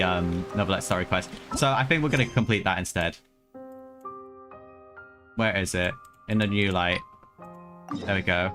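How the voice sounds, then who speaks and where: A man's voice: fairly low pitch, pushed and bright. A man doing casual livestream game commentary, hesitant, thinking aloud and repeating himself. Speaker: game streamer. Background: game music.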